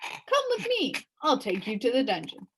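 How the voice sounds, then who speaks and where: Lady doing a bossy pompous cop judge guy from a kids story, high and swoopy, cheerfully menacing. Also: wildly swooping pitch, moderate pace, fairly bright tone, slight background noise. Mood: officious. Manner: declamatory, officious, cheerfully menacing. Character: pompous, brisk policeman who is judge and jailer.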